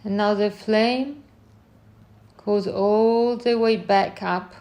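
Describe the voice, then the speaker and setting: A woman leading a guided meditation in gentle, unhurried short phrases with long pauses between them. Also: mid-range voice, steady tone.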